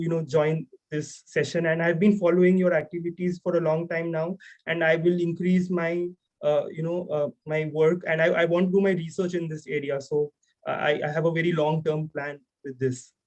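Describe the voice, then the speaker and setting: A man's voice: fairly high pitch, darkish tone, poor audio. A young man from India, a graduate student, introducing himself on a video call in an earnest way with frequent fillers. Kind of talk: self-introduction in online seminar.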